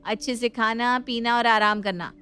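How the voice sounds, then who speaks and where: A woman's voice: on the high side, steady tone, normal speed, bright, forceful tone, slight background noise. A woman voicing a motherly, protective young sister-in-law in a family story. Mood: concerned. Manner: fussing, protective, soothing.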